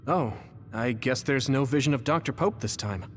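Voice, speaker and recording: A man voicing an earnest young soldier hero in an anime-style game cutscene, quick to worry. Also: mid-range voice, close to an everyday voice. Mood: earnest, puzzled. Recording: music behind the voice.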